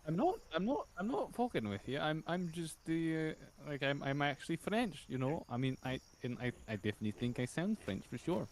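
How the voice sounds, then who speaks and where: A man's voice: a little high, sing-song, a little fast, hardly any pauses, a little hiss or hum. A man roleplaying in character with a put-on accent that others hear as Scottish, stammering and acting offended. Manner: stammering, mock-offended, insists he is French.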